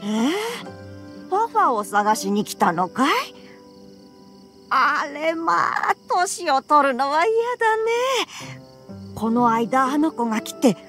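A woman's voice: high-pitched, fairly bright tone, full character voice. A woman voicing a kindly, fussing old grandmother in an anime-style game, with folksy, chiding yet caring speech. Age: older. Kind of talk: Japanese anime game voice acting.

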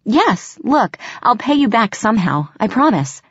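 A woman's voice: mid-range voice, animated, normal speed, dry recording, dull audio, voice pushed up. A woman voicing an anxious human girl in a fantasy audiobook, earnest, hesitant and pleading. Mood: urgent, hopeful.

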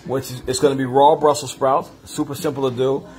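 A man hosting a casual vegan cooking livestream from his home kitchen, talking to viewers as family in a relaxed, chatty way. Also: medium pitch, brisk, soft background music, poor audio. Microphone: phone camera.

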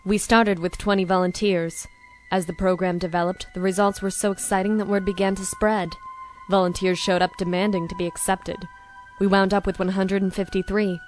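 A woman's voice: mid-range voice, level tone, moderate pace, faint music behind, lightly acted. A woman playing a calculating, self-assured female scientist villain in an anime dub, with composed, coaxing delivery. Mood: proud. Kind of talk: dramatic anime dub acting.

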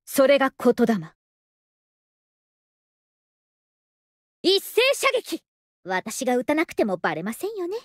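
A young anime game soldier woman with a high voice. She is languid and polite, with an understated affection, and her pitch swings widely. The sound is dry and close. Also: very animated, normal speed, no echo. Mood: battle-ready. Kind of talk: Japanese anime game voice acting.

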